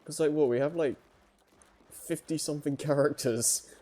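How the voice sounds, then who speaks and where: A man chatting casually as a livestream host, speaking in a hesitant, halting way. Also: fairly high pitch, fairly even intonation, mellow. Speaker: host of a story-reading livestream. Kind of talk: casual chat.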